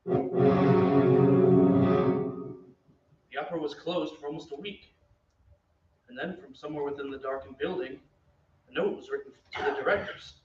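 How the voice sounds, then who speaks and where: A confident opera tenor type, acted by a man with a fairly high male voice. He speaks earnestly and politely in radio-play style, a little far off in an echoey room. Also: brisk, long pauses, lightly acted. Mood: narrating. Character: earnest, self-assured young opera singer, suitor and narrator. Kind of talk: old-time radio drama acting. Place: theatre stage. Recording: echoey stage, distant, dull, noisy.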